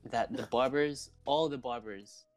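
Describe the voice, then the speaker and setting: A man's voice: medium pitch, a little slow, faint music behind. Laid-back young man, chatty. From Philippines. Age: 20s. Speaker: podcast co-host. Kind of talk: casual chat.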